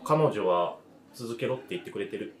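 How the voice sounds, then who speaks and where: A man's voice: fairly low pitch, animated, normal speed, a little hiss or hum, close to an everyday voice. Man acting hesitant thirtysomething guy, echoey room. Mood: troubled, uncertain. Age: 30s. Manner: hesitant, reflective, understated. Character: weary, self-doubting 35-year-old male cafe owner. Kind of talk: radio drama dialogue. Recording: roomy, echoing voice recording.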